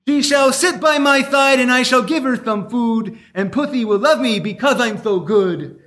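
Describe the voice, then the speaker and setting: A goofy cartoon moose with a strikingly high voice. He declaims like a self-important poetry lover, grand and theatrical, in a live radio variety sketch. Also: normal speed, fairly bright tone. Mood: grandly reciting. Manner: pompous, theatrical recitation.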